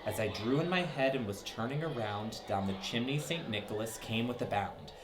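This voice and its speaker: Lively man reading a story to kids. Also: mid-range voice, moderate pace, noisy background. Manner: lively, sing-song, with dramatic swoops. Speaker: storybook reader for children. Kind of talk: reading a rhyming story aloud.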